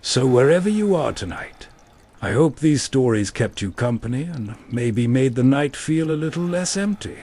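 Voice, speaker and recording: A man's voice: mid-range voice, lively intonation, normal speed, dark tone. A man hosting a nostalgic radio show with reflective, scripted late-night narration. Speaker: host of old-time radio show.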